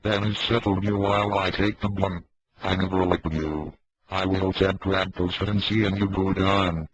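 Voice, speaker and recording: A man's voice: low-pitched, fairly even intonation, moderate pace, husky. A man playing a grudging soldier in an amateur audio drama, on a dull homemade recording. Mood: resentful.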